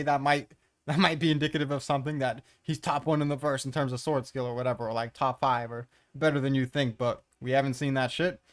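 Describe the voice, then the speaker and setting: A man hosts a casual ranking commentary in a mid-pitched voice. He is blunt and opinionated, ranting with open exasperation and peppering his talk with swearing. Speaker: host of a manga ranking commentary.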